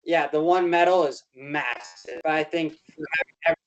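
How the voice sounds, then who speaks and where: A man's voice: on the high side, normal speed, muffled recording. A man on a sports panel speaking earnestly and reflectively, often checking in with "right?". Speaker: panel guest. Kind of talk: casual sports panel chat.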